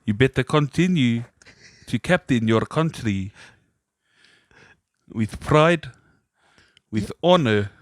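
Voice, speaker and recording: A man's voice: mid-range voice, lively intonation, slow pace, long pauses, dry recording, studio quality. A man voicing a solemn, commanding dying father, grandiose and emphatic, with a mangled foreign accent.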